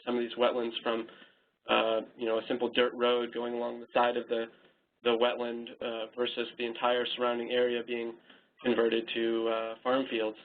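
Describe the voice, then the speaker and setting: Brief: male co-presenter, monotone, hesitant, tinny phone audio. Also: mid-range voice. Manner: halting, many fillers. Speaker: webinar co-presenter. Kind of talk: unscripted Q&A answer. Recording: tinny phone-line audio, faint hiss.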